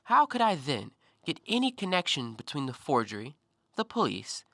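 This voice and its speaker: A man acting an animated, needling intellectual. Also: fairly high pitch, normal speed, no echo, exaggerated voice. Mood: reflective storytelling. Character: probing, self-assured male intellectual, talkative and needling. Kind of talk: stage-style audio play monologue.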